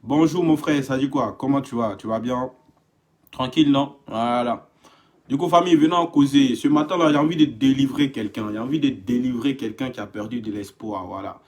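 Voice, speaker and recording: A man's voice: medium pitch, normal speed, a little mellow. A man from Africa, live-streaming from a home, greeting viewers by name and growing emphatic and impassioned. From West Africa. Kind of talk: casual live stream monologue. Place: home indoors.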